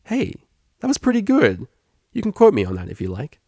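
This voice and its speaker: Kindly storybook stallion tutor, played by a man: informal, encouraging, playful, a touch gravelly, dry recording. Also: high voice, huge pitch swings, moderate pace, mellow, no echo, pitched above the performer's own voice, full character voice. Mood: playful, upbeat. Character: energetic kindly male pony tutor, understanding, playful. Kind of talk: fan fiction audiobook character reading.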